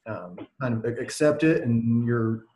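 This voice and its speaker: A man's voice: medium pitch, a little slow. A young man hosting an interview, chatting casually with many fillers and letting his voice trail off. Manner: relaxed, filler-heavy, trailing off quietly. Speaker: podcast host. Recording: echoey room.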